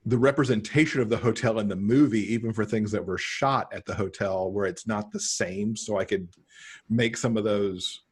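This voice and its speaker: A middle-aged man with a husky voice, chatting casually as a guest author on a video call. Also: on the low side. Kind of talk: casual interview chat. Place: indoor room, on a video call.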